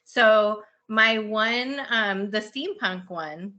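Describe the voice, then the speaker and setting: Lady hosting a podcast, super bright voice, just chatting, kinda rambly and hunting for words. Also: medium pitch, drawn-out words, lo-fi sound. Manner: informal, rambling, searches for words. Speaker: podcast host and fiction writer. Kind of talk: casual chat.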